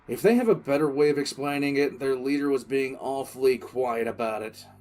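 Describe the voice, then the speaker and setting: A man playing a war-weary military commissar, recounting a crisis in halting, emphatic audio drama narration. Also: medium pitch, a little mellow, natural voice. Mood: tense, emphatic. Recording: music under the voice.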